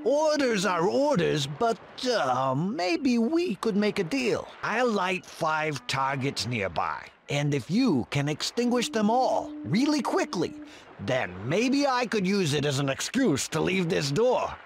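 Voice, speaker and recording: Dopey cartoon guard, gravelly, drawn-out delivery, bargaining type, faint noise. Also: male voice, sits high, big pitch swings, exaggerated voice. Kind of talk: cartoon video game acting.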